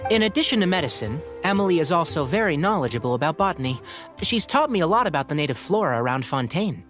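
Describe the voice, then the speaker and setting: A man voicing a sensible young forest ranger in a video game, matter-of-fact and gently lecturing. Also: a little high, sing-song, quick pace, soft background music. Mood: appreciative.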